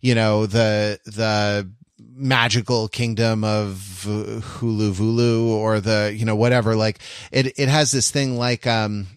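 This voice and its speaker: Low-voiced man, halting podcast talker. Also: flat delivery, clear, resonant tone, no echo, clean studio sound. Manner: halting, self-interrupting, repeats words. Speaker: podcast co-host. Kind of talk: casual literary discussion.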